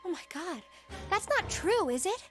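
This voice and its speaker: Young female game character, high pitch, timid, gentle, tentative, music underneath. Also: big pitch swings, normal speed. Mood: uneasy. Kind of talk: dramatic visual novel voice acting.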